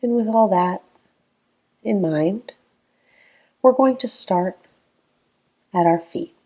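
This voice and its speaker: A woman leading a guided meditation in a calm, gentle, unhurried manner with long pauses between phrases. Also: mid-range voice.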